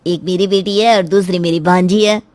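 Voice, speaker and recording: A woman's voice: fairly low pitch, steady tone, normal speed, a little mellow, no room sound, natural voice. A woman voicing a polite middle-aged parent arranging a daughter's marriage in a family story. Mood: polite, pleased. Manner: courteous, agreeable.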